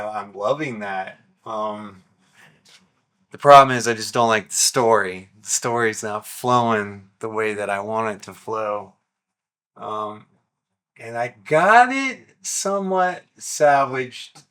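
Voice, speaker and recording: A man's voice: lowish voice, bright, forceful tone, clear, resonant tone. A man talking informally to his vlog audience as a video creator, candid and self-critical. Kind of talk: casual self-filmed vlog.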